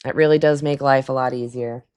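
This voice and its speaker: A woman with a low, raspy, hoarse voice hosts a live call-in stream. She speaks in a loose, chatty way, laughs between points and answers her chat as she goes. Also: steady tone, a little fast, darkish tone. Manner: chatty, laughs often, talks back to chat. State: hoarse throat. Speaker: livestream call-in host. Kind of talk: casual livestream chat with callers.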